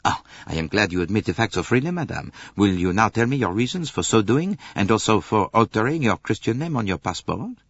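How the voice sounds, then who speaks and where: A man voicing a shrewd, courteous detective, measured and probing, in an audiobook. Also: lowish voice, very animated, slightly rough, no echo, dull audio, heavily acted. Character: shrewd Belgian male detective, polite, probing, unruffled.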